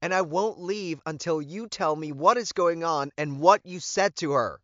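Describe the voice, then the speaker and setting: 남자가 연기하는 높은 음의 화난 젊은 여자. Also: male voice, a little high, moderate pace, no echo, muffled recording, pitched above the performer's own voice, natural voice. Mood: angry. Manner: demanding, yelling. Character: angry, stubborn young woman confronting her friend. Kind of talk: audiobook character acting.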